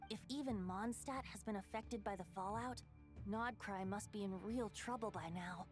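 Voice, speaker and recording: A woman's voice: mid-range voice, normal speed, over music, lightly acted. A woman playing a poised, courteous and knowing character in a video game, speaking in an articulate, lightly theatrical manner. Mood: wry, composed. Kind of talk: scripted video game voice acting.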